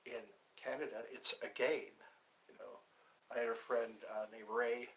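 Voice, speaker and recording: A middle-aged man speaking in a hushed, rambling way while he livestreams from his phone indoors. His voice is bright, with a faint hiss behind it. He wanders from one story to the next, full of fillers and restarts. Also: medium pitch, flat delivery, measured pace, rough recording. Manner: talking quietly, keeping voice down; meandering, lots of fillers and restarts. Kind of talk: rambling casual livestream chat. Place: indoor room with thin walls.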